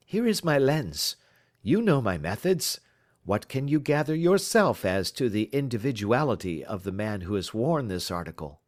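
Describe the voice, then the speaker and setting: Audiobook detective performed by a male reader: self-assured, precise, teasing, pompous, with very animated intonation. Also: medium pitch, moderate pace, natural voice. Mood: confident, brisk. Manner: crisp, lecturing, pompous. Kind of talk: audiobook character dialogue.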